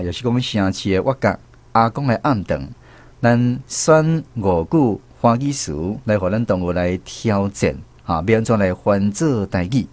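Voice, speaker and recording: A man's voice: fairly low pitch, darkish tone. A man with a Taiwanese accent, hosting a language quiz segment on the radio. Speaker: radio show host.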